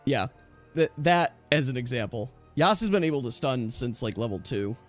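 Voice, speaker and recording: A man running a tabletop game, explaining rules casually in a chatty, halting way. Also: medium pitch, animated, soft background music. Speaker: game master.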